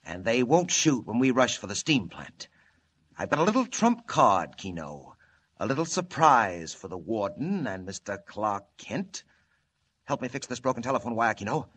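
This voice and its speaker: Cunning gang boss, husky, swinging delivery. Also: male voice, mid-range voice, sing-song, a bit bright. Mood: scheming. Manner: menacing, calculating, deliberate. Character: cunning, vindictive male gang boss, convict ringleader. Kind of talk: 1940s radio serial acting. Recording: muffled lo-fi old radio recording.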